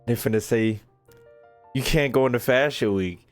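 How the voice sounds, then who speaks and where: A man's voice: mid-range voice, normal speed, soft background music. A young American man giving casual, joking, slangy gameplay commentary as a streamer talking to his chat. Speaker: gaming streamer.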